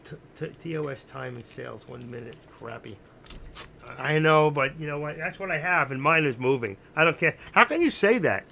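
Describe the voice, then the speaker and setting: A man with a slightly high but very gravelly, creaky voice gives live, off-the-cuff trading commentary. He sounds hoarse, as if his voice is wearing out. He talks through his thinking and instructs viewers repetitively, with faint noise in the background. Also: animated, moderate pace, pushed and bright. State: voice giving out, hoarse. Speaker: live stock-trading streamer.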